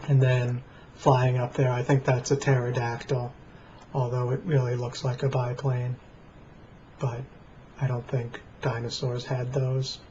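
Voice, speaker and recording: An American man rambling casually and deadpan to camera in a plain, flat voice. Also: mid-range voice, a little slow. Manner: deadpan, dry. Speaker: vlogger. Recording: dull lo-fi webcam sound, faint noise.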